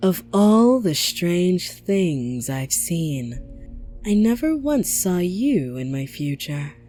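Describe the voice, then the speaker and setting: A woman playing a coldly patient, menacing interrogator from a warrior clan, speaking deliberately and with mock politeness, slipping into whispers in an ASMR drama. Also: on the low side, sing-song, mellow, soft background music, full character voice. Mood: cold, threatening. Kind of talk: dramatic ASMR roleplay monologue.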